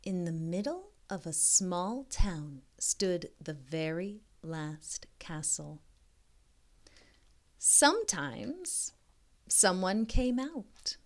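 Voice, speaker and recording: A woman reading a children's story aloud as a tutor, expressively and deliberately, with informal, encouraging asides to young listeners. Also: on the low side, big pitch swings. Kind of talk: modeled children's read-aloud with chatty asides.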